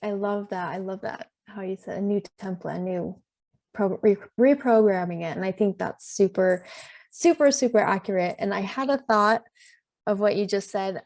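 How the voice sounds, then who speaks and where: Chatty woman guest, mid-pitched. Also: a little mellow. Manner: chatty, rambling, many fillers. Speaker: feng shui specialist guest. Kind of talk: casual interview chat.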